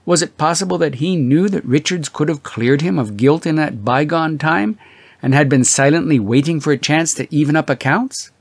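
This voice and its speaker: A man reading literary fiction as an audiobook narrator, in a measured, dramatic storytelling manner with drawn-out emphasis. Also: on the high side, gravelly, no room sound.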